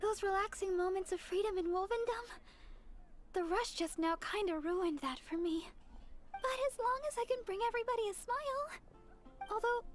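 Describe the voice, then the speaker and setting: A woman playing a sweet, humble young deaconess and songstress in a fantasy game, speaking gently, earnestly and apologetically. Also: very high-pitched. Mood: wistful, sweet. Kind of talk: animated game voice acting.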